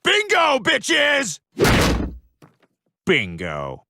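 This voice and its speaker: Man acting a shrieking, wildly swooping comic loudmouth. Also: very high voice, huge pitch swings, extremely slow, vocal fry, faint music behind, heavily acted. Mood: gleeful. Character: excitable, outraged loudmouth in comic meme clips. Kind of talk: exaggerated comic shouting.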